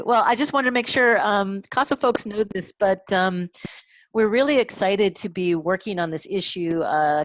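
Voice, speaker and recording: A woman moderating an online meeting informally, encouraging and halting as she speaks. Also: lowish voice, no room sound. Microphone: video call.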